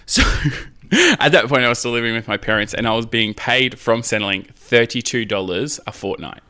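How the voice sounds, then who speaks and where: An Australian man chatting casually and candidly as a podcast guest, telling stories in a cheeky, animated way and acting out bits of dialogue. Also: mid-range voice, normal speed, smooth, no echo. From Australia, rural Queensland. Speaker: podcast guest, drag queen. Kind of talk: casual candid anecdotal chat.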